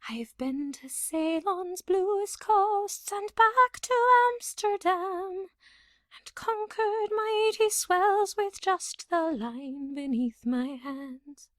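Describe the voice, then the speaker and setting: A woman singing a slow folk ballad. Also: very high voice, a bit bright, no room sound, studio quality. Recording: music behind the voice. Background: music.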